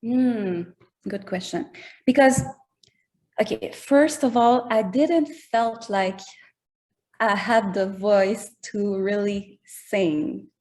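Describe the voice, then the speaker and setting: A woman with a French accent speaks slowly and thoughtfully as a guest in an interview. She often pauses to find her English words. Her native language is French. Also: mid-range voice, darkish tone. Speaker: musician guest. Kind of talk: casual interview chat.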